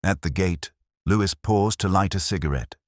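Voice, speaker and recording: A man narrating a scripted first-person detective story in a measured, literary, brooding way. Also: sits low, no echo, clean studio sound.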